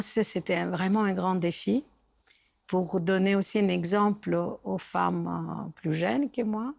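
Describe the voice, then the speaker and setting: A woman with a gravelly voice answering an interview in an earnest, reflective way. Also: on the low side, darkish tone, dry recording. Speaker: former parliamentary secretary general.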